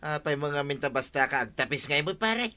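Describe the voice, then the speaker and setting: An actor with an Ilocano Filipino accent playing a stubborn, defensive villager in a radio comedy drama, arguing in colloquial speech. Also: male voice, a little high, lively intonation, a little fast, bright, forceful tone. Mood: protesting. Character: stubborn, brazen male villager, defensive and excuse-making.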